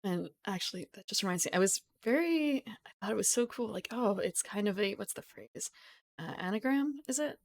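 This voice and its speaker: A woman streamer chatting casually with her viewers, rambling, giggling and correcting herself, her voice still croaky from just waking up. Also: medium pitch, lively intonation, moderate pace. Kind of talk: casual live-stream chat.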